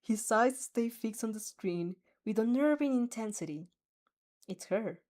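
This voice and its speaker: A woman's voice: on the high side, lively intonation, measured pace, darkish tone. A young woman reading a fanfiction aloud and chatting casually with viewers in a giggly, rambling, easily flustered way. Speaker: fanfic reader and streamer. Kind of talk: reaction reading and casual chat.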